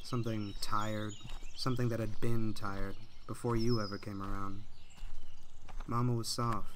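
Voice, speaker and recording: An actor playing a lonely young man surviving on his own, speaking quietly and wearily in a radio drama. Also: on the low side, flat delivery, a little slow, noisy background, close to an everyday voice. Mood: weary. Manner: quiet, weary, reflective.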